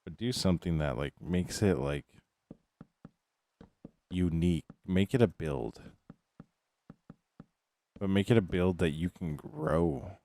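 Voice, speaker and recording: A man with a deep, low voice chatting casually as a game streamer, musing and repeating himself as he thinks aloud. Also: big pitch swings, slow, creaky, long pauses, dark tone, a little hiss or hum. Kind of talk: casual chat while playing.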